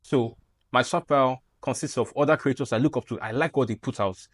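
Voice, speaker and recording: Young nigerian guy, animated and jokey, dry close sound, mid pitch. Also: fairly quick, slightly rough, dry recording. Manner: animated, playful, jokey asides. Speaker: YouTube creator. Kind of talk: casual tutorial talk to camera.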